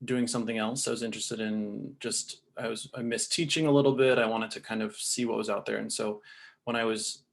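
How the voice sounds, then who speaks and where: A man speaking as a panelist in a relaxed way, thinking aloud and restarting sentences. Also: fairly low pitch, fairly quick, creaky. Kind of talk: casual panel talk.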